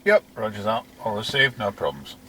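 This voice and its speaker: A man's voice: lowish voice, big pitch swings, normal speed. Clipped man on very noisy mobile CB radio. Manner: clipped, matter-of-fact. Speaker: mobile CB radio operator in a vehicle. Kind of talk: brief radio procedure reports. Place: moving vehicle on the road. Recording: CB radio with loud vehicle noise. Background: loud vehicle and road noise.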